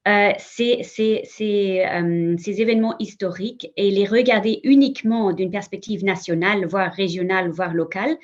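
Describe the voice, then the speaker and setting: A woman speaks on a conference panel in French, which is not her first language, halting and restarting her sentences with frequent fillers. Her voice sits in a middle register. The sound is dull and lo-fi, like an online call. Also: medium pitch. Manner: hesitant, many fillers and restarts. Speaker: museum representative on a panel. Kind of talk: conference talk, spoken off the cuff.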